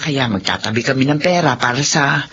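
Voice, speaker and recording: Bright-voiced, doting Filipino grandmother. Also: extremely low pitch, a little fast, lo-fi sound, a little hiss or hum. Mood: gentle, fond. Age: older. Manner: affectionate, chatty, exclaiming. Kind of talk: radio drama acting.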